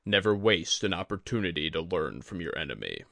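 A man reading a scripted fantasy story as an audiobook narrator, with dramatic, measured phrasing. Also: low voice, very animated, vocal fry, fairly bright tone, no room sound. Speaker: audiobook reader.

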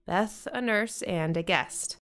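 A woman's voice: medium pitch, takes it slowly, a bit bright. Stern but loving American mother, played by a woman. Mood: gentle, persuading. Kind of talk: modern audio drama acting.

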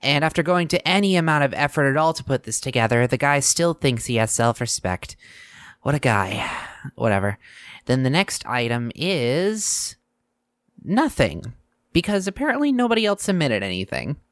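An actress playing a sardonic, reluctant young student hosting a news show in an audio drama, rambling and exasperated. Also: female voice, a bass voice, very animated, normal speed, dry recording. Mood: dry, scornful, then exasperated, incredulous. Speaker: podcast news host character.

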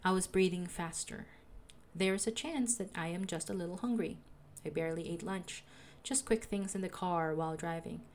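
A young woman with a soothing voice, calmly narrating a personal audio diary as a podcaster. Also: fairly low pitch, moderate pace. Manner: calm, reflective, confessional.

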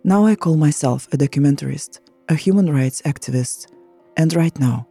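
A woman from Russia co-hosting a podcast, introducing guests and asking measured questions. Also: sits low, vocal fry, mellow, slightly rough, no echo, soft background music. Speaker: podcast co-host, journalist.

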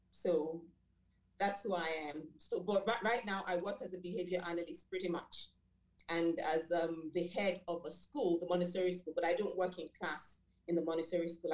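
Woman, middle-aged, from Nigeria, native Yoruba speaker, low voice, measured with fillers, echoey room. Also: darkish tone. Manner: measured, with many fillers and self-corrections. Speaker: guest, school director and behavior analyst. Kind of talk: interview answers.

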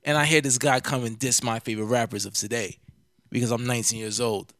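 A man's voice: mid-range voice, fairly even intonation, fast talker, fairly bright tone, no echo, clean studio sound. A nineteen-year-old man co-hosting a podcast, arguing emphatically and swearing in casual chat. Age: late teens. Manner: argumentative, profane, emphatic. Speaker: podcast co-host.

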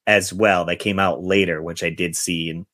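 A man's voice: low-pitched, fairly even intonation, normal speed, a bit bright, no echo, clean studio sound. A man hosting a podcast, chatting casually and reading out film reviews. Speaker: podcast host.

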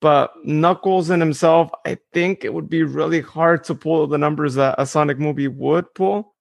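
A man co-hosting a casual podcast, speaking in a halting way with frequent restarts. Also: fairly high pitch, fairly even intonation, creaky, no room sound. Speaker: podcast co-host.

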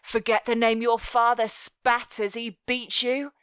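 A menacing master of a thieves' guild, acted out by a woman reading an audiobook, speaks slowly and with total command. He is cruel and deliberate, coating threats in mock tenderness. The sound is dry, with no room around it. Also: on the high side, flat delivery, fairly bright tone, clear, resonant tone, dry recording. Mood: harsh, commanding. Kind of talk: dramatic audiobook character voice.

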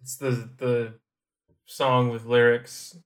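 Man, mid-pitched voice, podcast co-host, casual chat, easygoing, hesitant. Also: flat delivery, takes it slowly.

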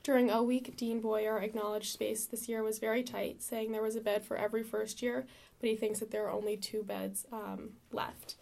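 Young female student reporter from New York; flat delivery, heavy fry. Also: a little high, moderate pace. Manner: reads with frequent "um" fillers. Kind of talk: reading a news item. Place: recording booth.

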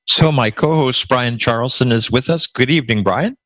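A man hosting a panel discussion, speaking in a measured, wry way. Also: medium pitch, fairly bright tone, no echo. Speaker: host.

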